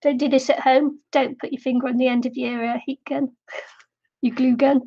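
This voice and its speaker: A woman's voice: high voice, little pitch movement, normal speed, creaky, mellow, highs cut off. A middle-aged woman chatting casually while crafting on a live stream, slightly nasal from a cold, rambling and giggling often. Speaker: craft vlogger.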